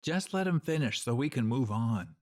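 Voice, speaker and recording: A man's voice: mid-range voice, expressive, normal speed, darkish tone, natural voice. An actor playing an easygoing, conciliatory male survivor in a radio play. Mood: placating.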